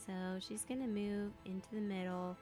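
A woman's voice: mid-range voice, normal speed, warm tone, faint music behind. A woman playing a tabletop role-playing game, unsure about the rules and a little apologetic. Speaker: player. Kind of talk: casual tabletop game play.

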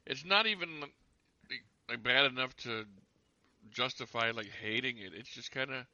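Bright-voiced, hesitant man critiquing films. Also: mid-range voice, unhurried, dry recording, muffled recording. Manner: hesitant, many fillers and restarts. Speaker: podcast co-host. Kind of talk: casual movie review chat.

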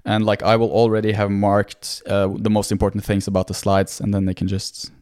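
A man's voice: on the low side, monotone, brisk, darkish tone, clear, resonant tone. A young man in his twenties with a Norwegian accent, a non-native English speaker, talking earnestly and modestly as a guest in a casual interview. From Norway. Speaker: podcast guest, medical student.

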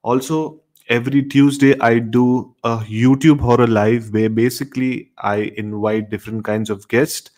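A man's voice: medium pitch, fairly even intonation, a little slow, hardly any pauses, darkish tone. A man hosting a horror radio show, talking casually and directly to his audience. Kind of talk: casual host sign-off to audience.

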